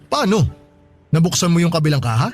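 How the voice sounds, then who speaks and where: An actor playing a strict, suspicious store boss in a Tagalog radio drama, questioning in a stern manner. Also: male voice, fairly high pitch, very animated, fairly quick, darkish tone. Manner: interrogating, stern. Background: music.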